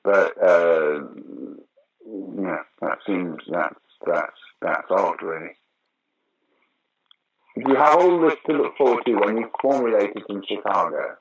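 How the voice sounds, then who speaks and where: A man's voice: low-pitched, takes it slowly, husky, rough recording. An older man, answering interview questions conversationally in a wry, opinionated, emphatic way. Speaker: interview guest, actor, writer and director of pantomime.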